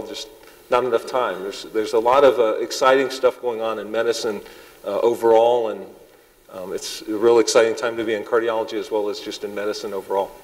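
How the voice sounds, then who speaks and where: Man, loud voice, halting public lecture, lots of ums, big echoing hall. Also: lowish voice. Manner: halting, many ums and restarts. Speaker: cardiologist giving a public talk. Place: large hall with audience. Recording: long hall reverb.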